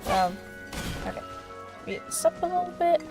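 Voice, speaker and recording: A woman giving casual, giggly and excitable reaction commentary while playing a video game. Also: very high-pitched, normal speed, over music. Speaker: gaming streamer.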